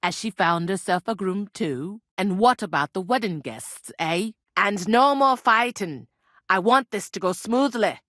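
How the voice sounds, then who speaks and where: An actress playing a brusque, gossipy, world-weary medieval village innkeeper in a video game, talking in blunt, earthy, scolding phrases. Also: female voice, mid-range voice, animated, unhurried, fairly bright tone, no room sound, studio quality. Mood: scolding, stern. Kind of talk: video game character dialogue.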